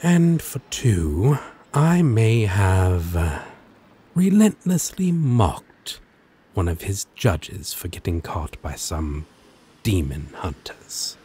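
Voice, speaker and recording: Slow, low-voiced flirty demon played by a man. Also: very animated, warm tone, husky, full character voice. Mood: sheepish, teasing. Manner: teasing, halting, dramatic delivery. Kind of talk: ASMR romance roleplay acting.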